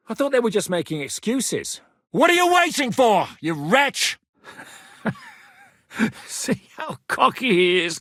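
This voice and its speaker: An actor playing a gruff, battle-hardened war commander in a video game, blunt and coarse as he barks orders. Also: very high voice, fairly bright tone, no room sound, studio quality, full character voice. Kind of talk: game voice lines.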